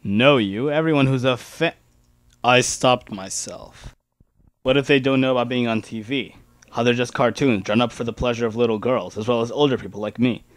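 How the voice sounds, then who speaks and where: A man's voice: medium pitch, steady tone, a little fast, dry recording. A man reading first-person fan fiction aloud as an amateur dramatic narrator.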